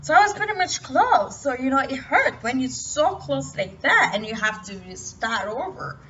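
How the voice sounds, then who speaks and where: A young woman from Cameroon talking about her life in a personal motivational vlog, halting and full of restarts. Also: sits high, big pitch swings, a bit bright. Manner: hesitant, halting, many restarts. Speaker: vlogger. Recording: distant, muffled, light noise.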